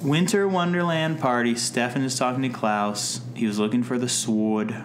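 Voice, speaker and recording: A man co-hosting a casual podcast, reading plot notes aloud and making dry, deadpan jokes. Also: medium pitch, moderate pace, slight background noise. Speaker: podcast co-host. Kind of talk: casual chat recapping a TV episode.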